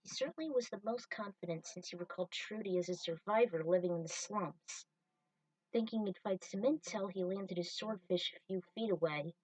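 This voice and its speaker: A woman narrates a fan-written story as its storyteller, at a medium pitch. She sits slightly away from the microphone, with little room sound around her. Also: moderate pace, darkish tone, no room sound, distant mic, rough recording.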